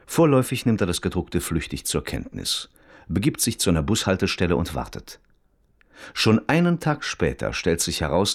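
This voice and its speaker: Radio drama narrator, low-pitched, detached and observant, precise, dry wording, varied intonation. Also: male voice, creaky, full character voice.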